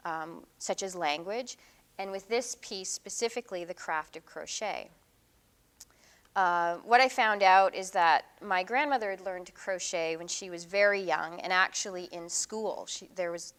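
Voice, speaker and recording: A woman's voice: fairly low pitch, fairly bright tone. A middle-aged woman giving an artist talk about her work, speaking casually and thoughtfully with frequent ums and restarts. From Canada. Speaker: visiting artist giving a lecture.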